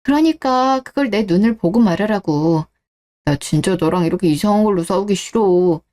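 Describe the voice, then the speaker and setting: An earnest wife in a radio drama, played by a woman. She has a mellow, dark-toned voice at a middle pitch. She sounds sincere and wounded, pressing for answers. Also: moderate pace, lightly acted. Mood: earnest, pleading. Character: married daughter, suspicious wife, earnest and hurt. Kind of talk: scripted radio-drama dialogue.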